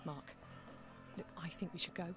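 A woman's voice: moderate pace, lightly acted. Worried woman acted, music underneath. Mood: worried, evasive. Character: worried, suspicious woman, hedging and urging caution. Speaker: actor. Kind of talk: naturalistic audio drama.

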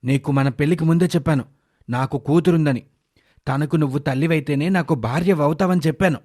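A man's voice: on the high side, brisk, a little mellow, close to an everyday voice. A man voicing a loving, protective middle-aged father, earnest and affectionate, in a moral story. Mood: protective, reproachful.

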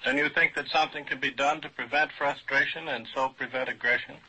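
Husky, forceful man moderating an old radio panel. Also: medium pitch, steady tone, brisk. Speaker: moderator of a scientific symposium panel. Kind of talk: formal mid-century radio panel moderation. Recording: dull vintage radio recording.

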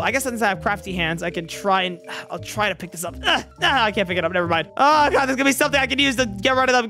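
A man hosting a game roleplay video, narrating in a panicky, excitable way with frantic exclamations. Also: sits high. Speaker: gaming video host. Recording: faint background music.

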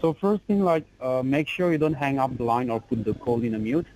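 A man posing as an officer on a phone call, talking formally and insistently with halting restarts. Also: medium pitch, darkish tone, slight background noise.

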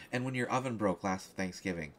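A man playing a flustered, distracted reporter in an audio roleplay, halting and stammering, jealous and defensive. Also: lowish voice, natural voice. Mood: indignant. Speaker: voice actor in a solo roleplay audio. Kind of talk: one-sided conversational audio roleplay.

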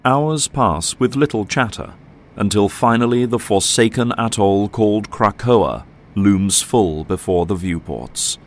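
A man's voice: on the low side, measured pace, close to an everyday voice. A man narrating an audio drama in a dramatic, scripted storytelling style.

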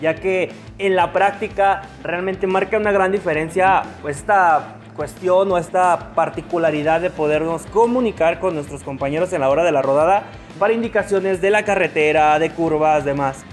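Young man, Mexican Spanish accent, high-pitched, upbeat host, faint music behind. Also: moderate pace. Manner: upbeat, eager interviewer. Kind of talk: product review chat. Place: motorcycle gear shop, indoors.

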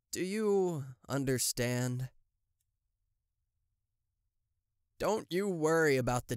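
A smug TV-headed demon executive voiced by a man purrs through an ASMR roleplay at an unhurried pace. He is slick and theatrical, with possessive intent under false politeness, in a dry, close recording. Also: medium pitch, no room sound. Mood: possessive, coaxing.

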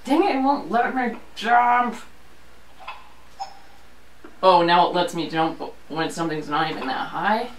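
A woman's voice: fairly low pitch, unhurried, pauses often. A woman laughs and grumbles at a video game, tossing out exasperated exclamations between giggles. Her voice is caught from across an echoey living room by a distant camera. A faint hum of room noise runs behind her. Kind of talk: casual chat while playing a video game. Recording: roomy, distant home video.

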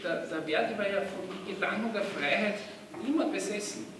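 A man in his forties leading a choir rehearsal, animated and singing phrases to demonstrate. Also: high voice, a little fast. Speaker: choir conductor. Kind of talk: rehearsal instructions. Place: rehearsal room. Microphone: far camera. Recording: echoey room from far off.

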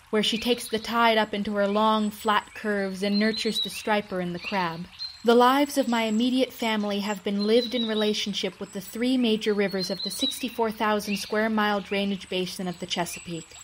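American woman, storyteller reading scripted folk memoir narration. Mid-range voice over light birdsong ambience. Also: medium pitch. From United States. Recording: clear background noise with birdsong.

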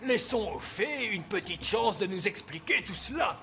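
A man's voice: sits high, lively intonation, moderate pace, creaky, pushed and bright, soft background music, exaggerated voice. A man playing a wise, fussy old elf who explains things emphatically in a cartoon. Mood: instructive. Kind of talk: animated cartoon dialogue in French dub.

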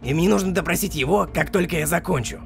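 A short-tempered skeleton monster boss with a high, sharp voice. He commands and insults theatrically, like a comic-dub villain, with a gravelly creak and music underneath. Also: quick pace. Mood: stern, commanding. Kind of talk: fan comic dub acting.

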